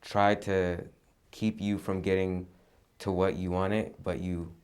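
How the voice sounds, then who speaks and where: A young man, a student interviewer, asking questions in a slow, halting way. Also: sits low, level tone, darkish tone, clean tone.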